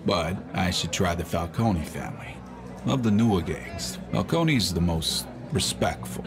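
An actor playing a weary, hard-boiled antihero in a noir video game, terse and world-weary. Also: male voice, low voice, expressive, unhurried, music in the background. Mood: weary, flat. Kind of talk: noir game dialogue and inner monologue.

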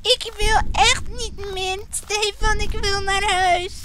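A woman's voice: steady tone, slow pace, background noise. A woman voicing a timid, scared little child who whimpers, stammers and pleads while gaming, her voice strained and nearly gone. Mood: whiny, scared. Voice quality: squeaky, babyish, stammering. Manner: whimpering, pleading, repeating words. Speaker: guest gamer. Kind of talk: comedic let's-play commentary in character.

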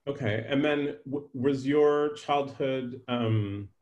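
A man in his fifties from California, interviewing a guest from home over a video call, thinking aloud with many pauses. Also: mid-range voice, darkish tone, highs cut off. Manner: musing, hesitant with fillers. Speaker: host, interviewer. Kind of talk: casual interview. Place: home room. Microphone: webcam on video call.

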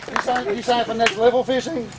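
A man's voice: extremely high pitch, steady tone, fairly quick, heavy background noise. A middle-aged man giving an informal thank-you speech to a group as event host, projecting his voice off the cuff. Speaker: event host handing out prizes. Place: open-sided party tent outdoors. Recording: loud crowd and music behind. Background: crowd of children, music.

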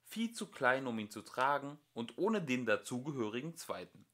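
Bright, animated man reading a story. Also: medium pitch, moderate pace. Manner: expressive storytelling. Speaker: amateur audiobook narrator. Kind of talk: storybook narration, read aloud.

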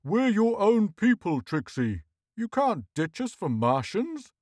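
A man's voice: medium pitch, big pitch swings, slow, a little mellow, husky, no room sound, clean studio sound, full character voice. A man voicing a blustering, pleading male space miner who bawls his protests in a pulp science fiction story. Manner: bawling, protesting.

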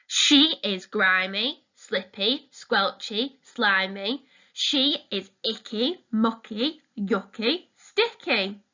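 Very slow, animated woman reading a picture book. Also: medium pitch, lo-fi sound. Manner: deliberate, addressing young listeners with questions. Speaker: storytime reader, teacher. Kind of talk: expressive children's picture-book read-aloud.

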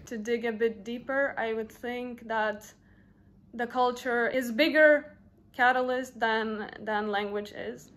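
A woman's voice: a little high, steady tone, takes it slowly, a bit bright. Girl in her 20s, chatty casual answers full of fillers, webcam call. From Lithuania. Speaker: interviewee.

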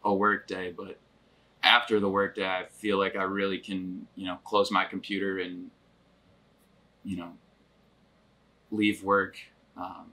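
A man in his twenties from Michigan, talking in a reflective, steady way with frequent fillers about working remotely. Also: low voice, measured pace, pauses often. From Grand Rapids, Michigan, US. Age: 20s. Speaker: remote worker. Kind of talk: casual sit-down interview. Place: wood-paneled cabin living room.

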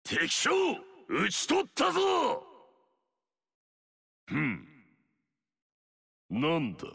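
A man's voice: a little high, wildly swooping pitch, extremely slow, creaky, bright, forceful tone, husky, dry recording, clean studio sound, full character voice. An actor playing an arrogant, fierce male warlord in a video game, swaggering and barking battle cries.

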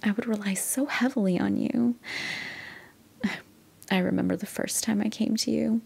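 A woman playing a doting middle-aged mother in an intimate ASMR roleplay, speaking in a breathy, often near-whispered voice with an affectionate, hesitant manner. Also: medium pitch, fairly even intonation. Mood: tender, nostalgic. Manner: unhurried, affectionate, hesitant, with soft sighs and chuckles. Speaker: audio roleplay performer.

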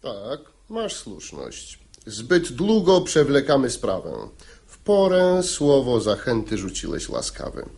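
Royal officer: slow, slightly husky, formal authority, radio verse comedy. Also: male voice, medium pitch, huge pitch swings, a little mellow, full character voice. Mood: stern, dignified. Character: stern, dignified male royal officer. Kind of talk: verse stage comedy performed as radio drama.

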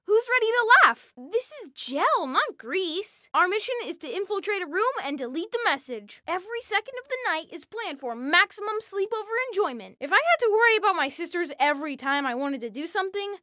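A performer voicing an energetic, scheming, good-natured young cartoon boy for a video game, in an eager, expressive, exclamatory way. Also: extremely high pitch, fairly quick, hardly any pauses, dry recording, clean studio sound, full character voice. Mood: playful, showy. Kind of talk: animated video game voice clips, exclamatory one-liners.

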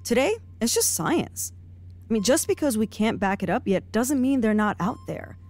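A woman's voice: fairly high pitch, sing-song, normal speed, a little mellow. A woman playing a wry, level-headed, sceptical agent in a comedy-adventure podcast, pointed but natural in delivery. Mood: thoughtful.

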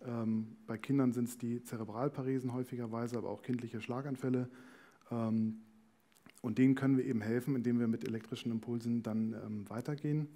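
A man's voice: mid-range voice, steady tone, fairly quick, warm tone. A man in his thirties explaining things informally as an interview guest, hesitant and thinking aloud, on a headset mic on an indoor stage. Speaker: company founder as interview guest. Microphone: headset microphone.

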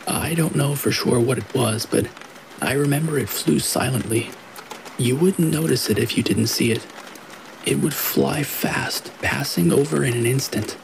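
A man reads scary stories as a scripted narration. His mid-range voice has a noticeable creaky, gravelly edge. Steady rain is clearly heard behind him throughout. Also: mid-range voice, measured pace, noisy background. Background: rain falling.

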